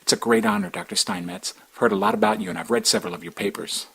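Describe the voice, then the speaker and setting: An actor playing a polite, easygoing Japanese American rocket scientist with a gravelly voice. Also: male voice, fairly high pitch, wildly swooping pitch, fast talker, noisy background, exaggerated voice. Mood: friendly.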